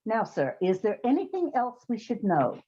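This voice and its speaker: An actress playing a brisk, wry woman who runs an odd job agency in an old-time radio comedy. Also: a little high, wildly swooping pitch, dark tone, husky, heavily acted. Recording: slightly dull, muffled sound.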